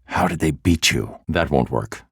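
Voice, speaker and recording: A man voicing a grim, brooding male vigilante detective in a video game, speaking in a low, grave, clipped and methodical way. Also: lively intonation, moderate pace, fairly bright tone, husky, dry recording, clean studio sound, full character voice. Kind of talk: game voice acting. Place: recording studio. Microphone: close studio mic.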